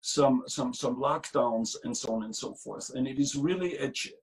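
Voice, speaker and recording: Austrian-born guy on a video call, earnest, lots of ums and restarts. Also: mid-range voice. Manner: earnest, halting with many restarts and fillers. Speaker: state trade director, guest speaker. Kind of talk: webinar interview answers.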